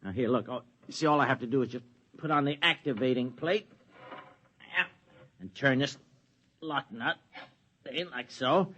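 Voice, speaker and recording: A man's voice: mid-range voice, moderate pace, pauses often, fairly bright tone, lightly acted. An American man acting in an old-time radio drama as an eager, henpecked husband who tinkers with kits, stammering and hesitant as he talks. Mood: eager, busy. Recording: dull vintage radio recording.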